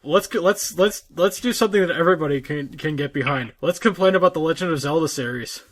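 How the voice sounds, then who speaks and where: A man co-hosting a casual gaming podcast, thinking aloud haltingly and repeating his words. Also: fairly high pitch. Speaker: podcast co-host.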